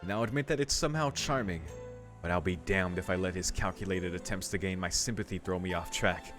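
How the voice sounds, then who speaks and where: A man with a lowish voice reads a visual novel aloud as a let's play host, dropping casual comments. Soft game music sits behind him. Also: brisk. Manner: casual, reacting. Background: faint game music.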